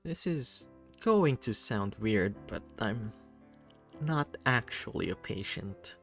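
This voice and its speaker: A man's voice: fairly low pitch, expressive, slow pace, gravelly, faint music behind, full character voice. Man playing an awkward, starstruck fan. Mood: nervous admiration. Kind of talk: visual novel character reading.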